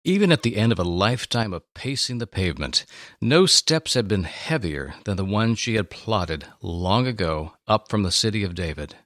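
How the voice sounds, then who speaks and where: A man reading scripted dramatic narration with a theatrical, expressive delivery. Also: fairly low pitch, normal speed, no echo, clean studio sound.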